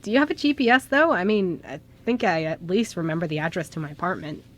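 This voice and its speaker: A woman's voice: lowish voice, fast, natural voice. A woman playing a sassy, casual young male ghost in an amateur radio play. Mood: puzzled, casual. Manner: casual, flippant.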